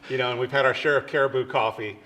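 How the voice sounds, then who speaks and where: A man's voice: medium pitch, fairly even intonation, rapid-fire, a bit bright, dull audio. A man in his forties from the US Midwest hosting a TV interview, chatty and affirming, working in product mentions. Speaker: TV show host. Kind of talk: interview chat.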